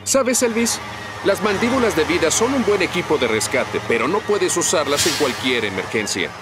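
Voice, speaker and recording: A man voicing a calm, friendly, capable firefighter hero in a Latin American Spanish cartoon dub. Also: a little high, moderate pace, noisy background, close to an everyday voice. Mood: in command. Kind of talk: animated cartoon dub acting. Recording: loud music behind.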